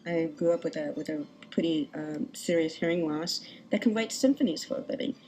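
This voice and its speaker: A woman composer from California, talking in an animated, reflective way in an interview clip. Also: deep voice, fairly quick, rough recording. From Berkeley, California. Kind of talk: interview talk.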